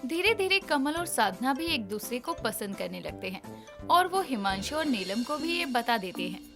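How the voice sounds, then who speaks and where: A woman's voice: a little high, very animated, normal speed, over music. A woman narrating a scripted story as its narrator.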